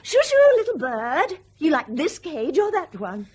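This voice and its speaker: A woman's voice: high-pitched, huge pitch swings, measured pace, a little mellow, heavily acted. A woman acting in a British radio drama as a pilot's bitter, sardonic, hard-drinking wife, biting and mocking.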